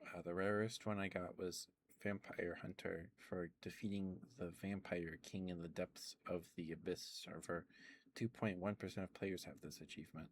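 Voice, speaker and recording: Soft-spoken low man, rambling ASMR chat. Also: steady tone, moderate pace, a little hiss or hum. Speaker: streamer.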